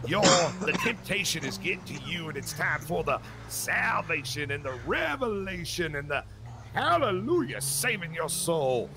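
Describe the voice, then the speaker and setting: A man's voice: on the high side, big pitch swings, normal speed, vocal fry, noisy background. A man playing a flamboyant revivalist street preacher in an online role-play, theatrical and repetitive in his exhortations. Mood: sly, grandiose.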